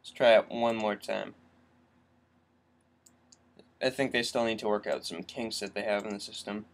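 A man's voice: fairly low pitch, fairly even intonation, moderate pace, creaky. A young adult man talking casually through a screen-recorded tech tutorial as a vlogger.

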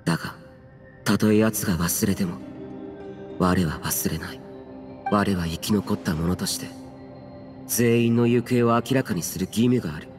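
A man's voice: on the low side, normal speed, pauses often, natural voice. A man acting in a Japanese game as a stern, aloof, youthful immortal warrior who speaks tersely and solemnly in archaic words. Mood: solemn, resolute. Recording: long reverb, faint music behind.

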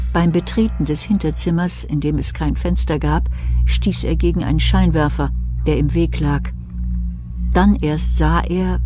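A man with a fairly high voice narrates a crime audio play. He reads scripted prose as the storyteller, and music plays clearly behind him.

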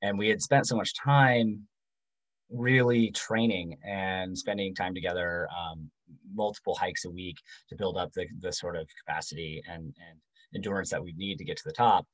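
Deep-voiced man with halting delivery. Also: steady tone, poor audio. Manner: halting, many ums and restarts. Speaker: guidebook author giving a book talk. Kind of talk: casual presentation. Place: home room. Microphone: video call.

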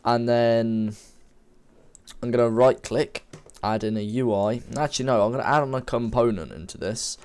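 A man's voice: medium pitch, normal speed, a little mellow, clean tone. A man with a cold and a slightly sniffly voice, talking casually through a coding tutorial at his computer and often correcting himself. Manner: informal, rambling, self-correcting while typing. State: has a cold, a bit sniffly. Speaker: game development tutor. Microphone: desk microphone.

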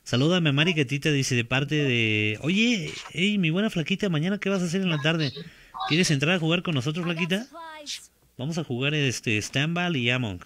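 A man's voice: fairly high pitch, normal speed, background noise. A man in his thirties streaming a game from a small home room on a headset, chatting casually, repeating himself and laughing often. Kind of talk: casual gaming stream chat.

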